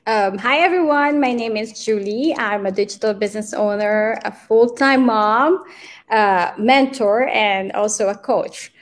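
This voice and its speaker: A woman from the Philippines, a guest on a live video talk, introducing herself calmly. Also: on the high side, unhurried. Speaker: guest, business owner. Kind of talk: casual live video chat. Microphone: video call.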